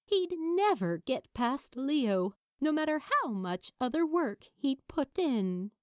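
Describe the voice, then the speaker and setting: A woman narrates a story in a fairly high voice. Her reading is unhurried and deliberate, with lively rises and falls that give it a dramatic feel. The sound is close and dry. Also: no echo. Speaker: audiobook narrator. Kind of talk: expressive scripted fiction narration.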